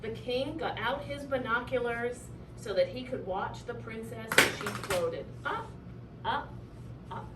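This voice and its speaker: Female storyteller, higher-pitched, very expressive picture-book narration, drawn-out words, distant noisy recording. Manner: animated, drawing out key words for effect. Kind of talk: expressive children's read-aloud narration. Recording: roomy, distant mic, clearly noisy.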